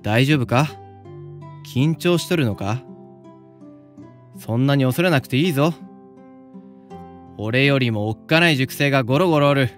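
An actor playing a confident, kindly senior samurai student with a Yamaguchi accent and old-fashioned turns of speech, in a voice drama. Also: male voice, mid-range voice, lively intonation, moderate pace, pauses often, faint music behind, lightly acted. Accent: Yamaguchi (Choshu). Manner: old-fashioned, breezy authority. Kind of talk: anime-style voice drama.

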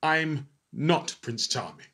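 A young actor playing a polite, awkward manservant to a prince in a pantomime, hesitant and apologetic. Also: male voice, a little high, lively intonation, slow pace, sharp tone, heavily acted.

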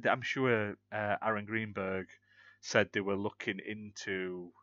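British guy on a gaming podcast, lowish voice, kinda dull dry mic sound. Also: sharp tone, dry recording. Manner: rambling, self-correcting, chatty. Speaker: podcast co-host. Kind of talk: casual chat.

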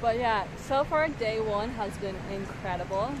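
A woman's voice: on the high side, unhurried. A young woman in her twenties, chatting upbeat travel tips while vlogging outdoors on a handheld camera. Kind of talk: casual travel vlog chat. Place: outdoors on a hot day. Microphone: handheld camera at arm's length. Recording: outdoor noise behind voice.

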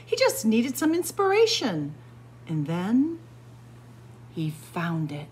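A woman reading a children's picture book aloud indoors as a library storyteller, in an expressive, deliberate manner. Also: a little high, noisy background. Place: indoor room with bookshelves, library.

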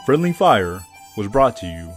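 A man's voice: on the low side, big pitch swings, normal speed, soft background music. A man narrating a scripted radio programme as its announcer.

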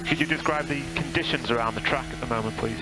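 A man's voice: medium pitch, fast, loud music behind the voice. A man working as race engineer, talking to a driver over team radio. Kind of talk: pit-to-car radio instructions. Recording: very noisy pit radio.

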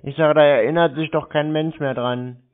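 A man's voice: fairly high pitch, steady tone, moderate pace. A man chatting casually on the phone in a blunt, dismissive, nonchalant manner. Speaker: host taking scam calls. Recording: muffled tinny phone-like line.

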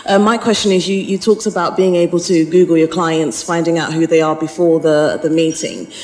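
A woman's voice: deep voice, fairly even intonation, a little fast, a little mellow, poor audio. A woman in the audience asking a question, courteous and a bit hesitant.

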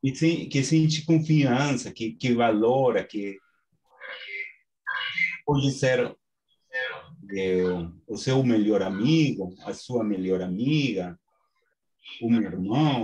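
A man from Peru with a Peruvian Spanish accent, teaching an online class in Portuguese with slow, halting speech and many restarts, over a video call from a small home study. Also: medium pitch, darkish tone, rough recording. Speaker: course instructor. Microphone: webcam on video call.

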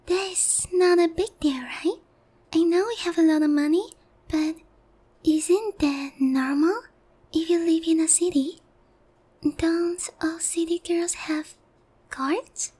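A woman's voice: very high voice, a little mellow. A woman voicing a naive, sheltered young harpy in an ASMR roleplay, speaking politely and hesitantly to one listener. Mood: innocently puzzled. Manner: timid, hesitant, polite, airheaded.

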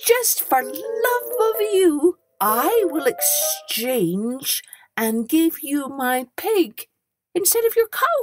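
A woman voicing a crafty male butcher with a drawn-out, coaxing delivery, music underneath. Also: high-pitched, sing-song, pitched above the performer's own voice, full character voice.

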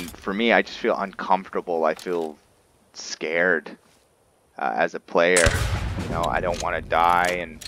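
A man's voice: sits low, fairly bright tone, a little hiss or hum. A man giving casual roleplay commentary on a video game, musing and thinking aloud as he plays. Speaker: gaming video host and player. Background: game music.

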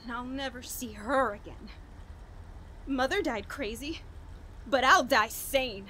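An actress playing a frantic, haunted woman on the edge of madness in a horror radio play, halting and overwrought. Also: on the high side, bright, forceful tone, slight background noise, rough recording. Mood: grim, hushed. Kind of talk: 1940s radio horror acting, dramatic monologue.

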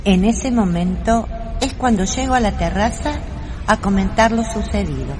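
A woman's voice: mid-range voice, moderate pace, a little mellow, a little hiss or hum, natural voice, low-quality recording. A woman playing a neighbor who tells the story in a radio play. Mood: storytelling. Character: female neighbor recounting events as storyteller.

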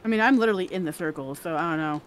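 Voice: medium pitch, racing, slight background noise. A co-host chatting playfully while gaming, giggling and swearing now and then. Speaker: co-host and gamer. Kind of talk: casual gaming chat.

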